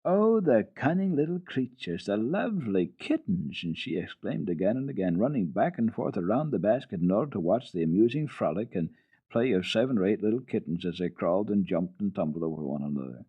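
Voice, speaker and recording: A man giving an expressive, deliberate dramatic reading of children's literature as a radio storyteller. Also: deep voice, breathy edge. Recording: dull phone-like old radio recording, roomy echo.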